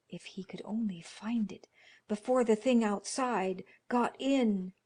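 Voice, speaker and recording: A woman reading a classic horror story as narrator, dramatic and expressive, at times dropping into hushed tones. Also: medium pitch.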